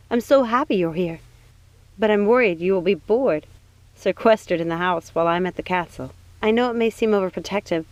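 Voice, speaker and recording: A woman's voice: on the low side, no room sound, close to an everyday voice. A woman voicing a worried, protective young witch in an audiobook, speaking earnestly and with care. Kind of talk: audiobook character voice.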